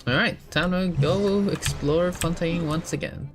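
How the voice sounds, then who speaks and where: A man's voice: on the high side, measured pace. Casual male game streamer over music. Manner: laid-back, chatty, slangy. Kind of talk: casual live game commentary. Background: game music, typing.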